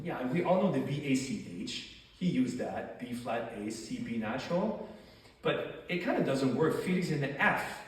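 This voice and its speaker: A man with a thick French accent delivers an academic lecture, walking his listeners through each point and pausing on rhetorical questions. His voice rings through a very echoey hall, picked up from some distance away. His pitch sits at an ordinary middle level for a man. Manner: explanatory, animated, asks rhetorical questions. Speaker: doctoral lecturer. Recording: echoey hall, far from mic.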